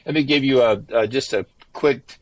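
A man's voice: medium pitch, normal speed, no room sound. A man who is an author and former pastor, speaking earnestly in a podcast interview and often repeating himself as he restarts phrases.

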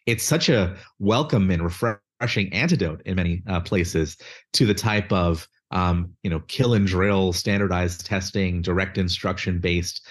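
A man's voice: low-pitched, a little slow. A man answering interview questions, articulate and methodical, listing points with a few fillers. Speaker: guest, education nonprofit chief executive.

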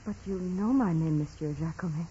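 An actress playing a devout, gentle peasant girl, polite and steadfast, with a soft husky voice in a 1940s radio drama. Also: lowish voice, normal speed, slight background noise, close to an everyday voice. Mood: quietly steadfast. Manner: polite, earnest, simple. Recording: dull vintage radio broadcast.

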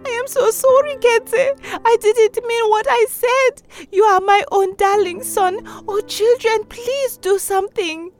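A woman voicing a lonely village woman who is quick-tempered but loving, speaking emphatically in a storybook reading. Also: very high voice, lively intonation, soft background music, voice pushed up. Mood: remorseful. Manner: pleading, emphatic.

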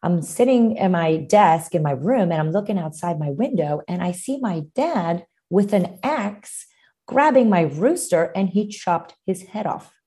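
Low-voiced woman from Romania. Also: animated, unhurried, warm tone. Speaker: pediatrician guest. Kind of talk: interview storytelling.

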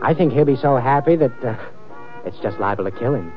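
Actor as a cold gangster boss, muffled radio. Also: male voice, medium pitch, lively intonation, brisk, lightly acted. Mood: menacing. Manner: clipped, sardonic. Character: cold, menacing gangster boss called the Colonel. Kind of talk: 1950s radio drama acting. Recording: muffled old radio recording with music.

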